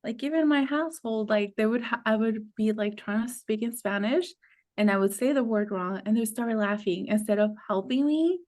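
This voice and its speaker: A woman's voice: a little high, moderate pace, darkish tone. A young adult woman, a coach who grew up in the United States with Mexican parents, talking casually on a podcast with frequent "like" fillers and easy laughter. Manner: chatty, laughs often, many "like" fillers. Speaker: guest, abundance coach.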